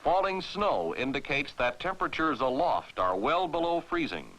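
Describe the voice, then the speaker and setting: An American man narrating a 1960s military training film in a formal, authoritative, clearly enunciated way, on a vintage film soundtrack. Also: on the high side, sing-song, normal speed, a bit bright, poor audio.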